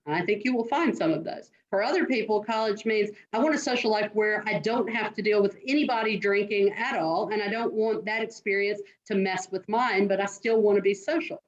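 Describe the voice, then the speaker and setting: Middle-aged woman, admissions dean; earnest, direct, muffled call audio. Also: medium pitch. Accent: non-New York American. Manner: earnest, direct, advising students. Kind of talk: answering interview questions.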